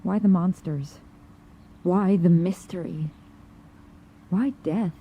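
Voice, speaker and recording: Muffled, really dark mellow voice, a bossy cryptic lady agent in an audio drama. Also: lowish voice, takes it slowly, husky, exaggerated voice. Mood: cryptic, insistent. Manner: commanding, clipped, probing. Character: pushy, cryptic female government agent interrogating through a door. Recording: muffled, dull sound.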